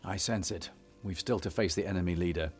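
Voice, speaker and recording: An actor playing a noble, resolute young warrior leader in a fantasy game, earnest and commanding. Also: male voice, sits low, brisk, husky, faint music behind. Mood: concerned. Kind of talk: fantasy video game voice acting.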